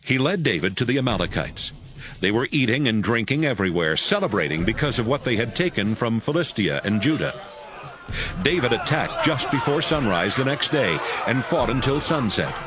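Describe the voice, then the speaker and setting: A man narrating dramatized scripture from a script, in a clearly phrased storytelling manner. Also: medium pitch, very animated, normal speed, crowd noise. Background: music.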